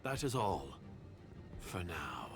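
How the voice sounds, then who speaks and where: A shady game conspirator with a lowish, expressive voice and a hushed edge. He speaks formally and condescendingly, always guarded, with music underneath. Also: takes it slowly, vocal fry, exaggerated voice. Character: wary, disdainful male secret agent, a shady conspirator. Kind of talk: video game voice acting.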